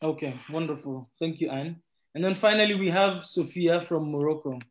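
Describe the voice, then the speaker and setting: A man's voice: fairly high pitch, normal speed. A man moderates an online panel in a courteous, conversational way, inviting speakers and posing questions. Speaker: webinar moderator.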